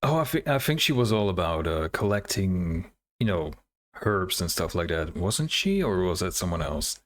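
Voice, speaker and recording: A man's voice: deep voice, very animated, moderate pace. A man giving casual, laid-back reaction commentary on game trailers, musing as he goes. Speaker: gaming video creator. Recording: music behind the voice. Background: game trailer music.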